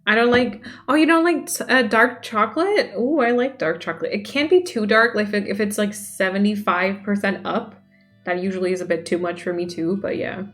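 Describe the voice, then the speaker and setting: A woman's voice: medium pitch, a little fast, a bit bright. Chatty young streamer woman reading chat. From Denmark. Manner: chatty, reacts aloud to chat. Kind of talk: casual chat reading viewer messages. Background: faint music.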